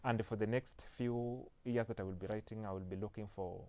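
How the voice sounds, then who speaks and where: Kenyan male author guest, low voice, halting casual delivery. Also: steady tone, dark tone. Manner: hesitant, restarts often, laughs lightly. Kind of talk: casual interview.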